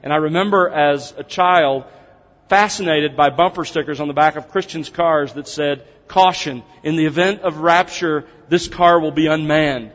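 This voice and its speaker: A man's voice: fairly high pitch, moderate pace, a bit bright. A man teaching a seminar to a congregation, opening with prayer, speaking in an earnest, conversational way as he explains things step by step. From United States. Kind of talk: seminar lecture opened with prayer. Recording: dull, reverberant hall sound.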